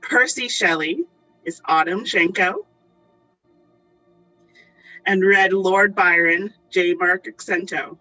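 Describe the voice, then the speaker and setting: A woman's voice: lowish voice, slow pace, pauses often, pushed and bright. A woman hosting, reading out a cast list as a curtain-call announcement. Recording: dull audio, faint music.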